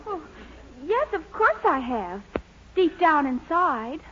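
A woman's voice: high-pitched, big pitch swings, slow, a bit bright, heavily acted. An American woman playing a sweet, doubtful young small-town girlfriend in 1940s radio comedy style, girlish and hesitant. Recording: muffled, noisy 1940s radio recording.

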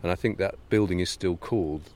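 Low-voiced male co-host, wry, teasing, conversational. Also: a little fast, darkish tone. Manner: wry and teasing, addresses listeners. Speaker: podcast co-host. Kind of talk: casual chat.

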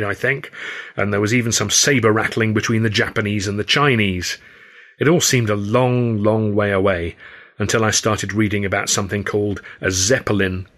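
A man's voice: fairly low pitch, fairly bright tone. A man narrating his own first-person novel as an audiobook.

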